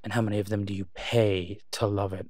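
A man plays a brash masked vigilante in an audio drama. His voice is fairly low and sarcastic, full of dry taunts, with a close, dry recording. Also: little pitch movement, fairly quick, a little mellow, no room sound, lightly acted. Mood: taunting, confident.

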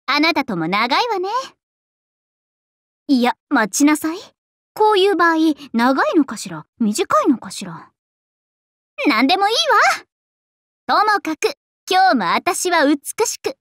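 A woman's voice: sits high, big pitch swings, measured pace, long pauses, fairly bright tone, no room sound, studio quality, heavily acted. A woman voicing a vain, bubbly young princess idol in a Japanese anime game, with a haughty, playful and theatrical delivery. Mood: musing, affectionate.

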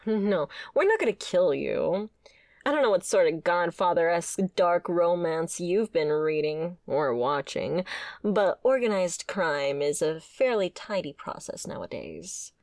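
Mafia boss played by a woman: wry, principled, flirtatious, lower female register, very dry close sound, ASMR roleplay. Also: expressive, measured pace, darkish tone, dry recording. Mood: wry, explaining. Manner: sardonic, conversational, swearing. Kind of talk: scripted ASMR roleplay acting.

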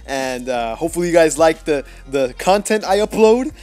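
A nineteen-year-old man from Mexico doing casual, rambling voice-over commentary on his mobile gameplay, excitable and full of jokes. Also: a little high, normal speed, soft background music. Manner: excitable, joking, rambling with lots of fillers. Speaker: mobile gaming YouTuber. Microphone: voice-over recorded apart from the gameplay.

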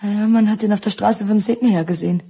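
A woman's voice: mid-range voice, fairly even intonation, quick pace, mellow, husky. An actress playing an anxious, resentful neighbour woman bringing a warning in a radio drama. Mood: alarmed.

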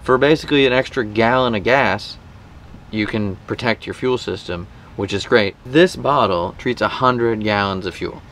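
A man in his thirties hosting a vlog, chatting informally to camera in a casual, animated explainer style outdoors. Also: fairly low pitch, normal speed. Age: 30s. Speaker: boating vlog host. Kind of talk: casual sponsored talk to camera. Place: outdoors in a boat cockpit at a marina. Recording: faint outdoor marina background noise.

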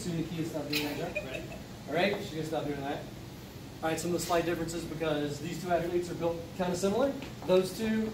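A man in his thirties, a coach, explaining squat form to the camera in an upbeat, instructive way inside a large gym. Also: a little high. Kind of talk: coaching demonstration. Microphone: camera mic from across the room. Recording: echoey gym from far off, noisy.

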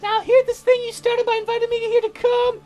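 A man voicing a dramatic, romantic young woman songwriter from a visual novel in a gushing falsetto. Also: monotone, a little fast, darkish tone, soft background music. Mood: wistful, dramatic. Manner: melodramatic, gushing.

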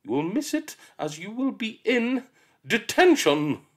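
Storybook villain: male reader doing a shrill, bellowing elderly headmistress, cruel and forever enraged. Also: big pitch swings, unhurried, full character voice. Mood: scornful. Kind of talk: audiobook character voice.

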